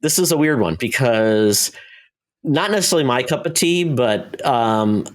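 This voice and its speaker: Halting man casually reviewing shows. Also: medium pitch, animated. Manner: halting, rambling, self-interrupting. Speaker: podcast co-host reviewing TV shows.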